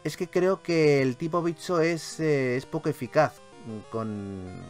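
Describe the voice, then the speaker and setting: A man with a Castilian accent from Spain giving excitable, sweary let's play commentary as a gaming YouTuber. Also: fairly high pitch, slow pace, faint music behind. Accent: Castilian Spanish from Spain. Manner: animated, expressive, swears freely.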